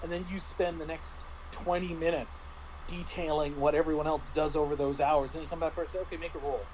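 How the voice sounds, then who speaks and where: Guy who runs tabletop games chatting, kinda halting and explainy, noticeable noise in the background. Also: a little high, flat delivery, a little mellow, rough recording. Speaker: game master and panelist. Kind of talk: casual discussion of tabletop roleplaying.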